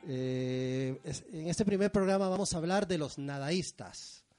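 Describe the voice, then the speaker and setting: Peruvian-born man hosting, warm and self-mocking. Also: on the high side, measured pace, faint music behind. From Peru. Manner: theatrical intros, then loose self-mocking asides. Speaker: host of a poetry segment. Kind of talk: live radio segment before an audience. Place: live venue with an audience.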